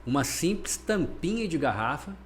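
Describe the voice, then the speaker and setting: A man hosting a podcast interview, asking questions in a halting way with restarts. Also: fairly high pitch, sing-song, a little hiss or hum. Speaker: podcast host.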